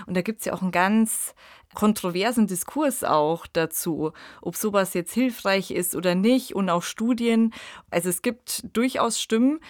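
Woman podcast host, low and dry. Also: dry recording. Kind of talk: conversational discussion.

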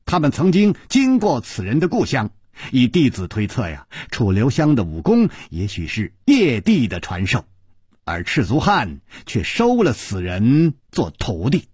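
A man's voice: medium pitch, huge pitch swings, darkish tone, slightly rough, dry recording, clean studio sound, full character voice. A man voicing a proud young woman disciple in a spoken story, explaining steadily and sneering. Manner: measured, then cold sneering.

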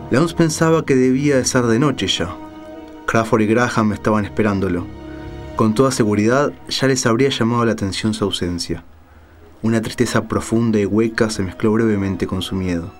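Low-voiced male literary narrator.

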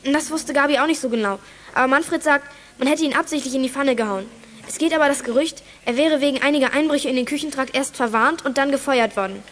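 Fast-talking, high-voiced plucky teenage girl. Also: a bit bright, a little hiss or hum. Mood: confiding, brisk. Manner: pert, defiant, matter-of-fact. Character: plucky, quick-witted, cheeky teenage girl detective. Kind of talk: German children's audio drama acting.

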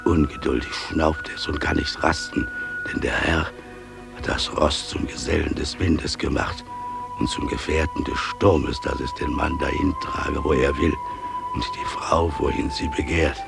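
A solemn father in a radio play, preaching parables with reverent weight. His voice is extremely low and gravelly, and he declaims very slowly over music. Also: steady tone, exaggerated voice. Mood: grave, stirring. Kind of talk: slow declamatory radio drama recitation. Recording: music behind the voice.

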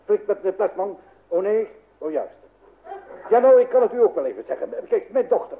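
A man's voice: sits high, lively intonation, brisk. An actor playing an anxious, blustering middle-aged father in an old radio comedy, stammering and repeating himself in agitation. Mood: flustered. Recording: noisy, dull vintage radio recording.

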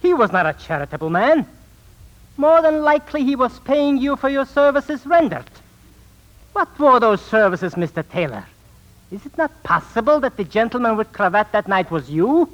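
High-voiced, theatrical sinister swindler. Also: male voice, sing-song, normal speed, a bit bright, exaggerated voice. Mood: insinuating. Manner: theatrical, formal, precise diction. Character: sinister bitter small-time male swindler and phony psychic. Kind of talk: 1940s radio acting. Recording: muffled 1940s radio recording.

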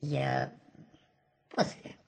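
An actor playing a cheeky, bold unborn baby boy in a radio play, talking in short theatrical phrases. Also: drawn-out words. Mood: matter-of-fact. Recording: studio radio play with music behind.

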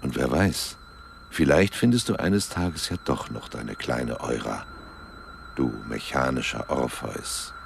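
An actor in a radio play voicing an ominous, coaxing, world-weary underworld dweller, solemn and deliberate, with a deep, gravelly voice. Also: male voice, expressive, background noise, heavily acted.

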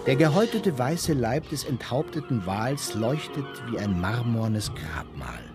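Solemn, dramatically modulated narrator. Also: male voice, mid-range voice, sing-song, measured pace, over music. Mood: grim, lamenting. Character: solemn literary narrator of a whaling tale.

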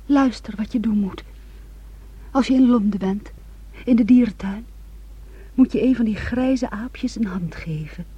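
An actress playing an intense, wounded young woman in a Dutch radio play, hushed and intimate, often slipping toward a whisper, on a muffled old recording. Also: fairly high pitch, a little slow, long pauses, slightly rough. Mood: wistful.

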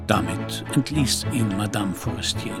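A man's voice: on the low side, expressive, measured pace. A man giving scripted literary narration in a German radio play. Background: music behind the narration.

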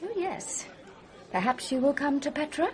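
An actress playing a bright, curious young British doctor in a radio drama, eager and confiding. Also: female voice, high voice, expressive, normal speed, some chatter behind. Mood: intrigued. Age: young adult.

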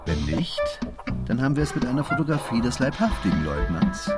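Actor as brisk, animated male detective over music. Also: medium pitch, close to an everyday voice. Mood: keen, assured. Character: confident, brisk, clever male detective, secretive and superior. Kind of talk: German radio play acting.

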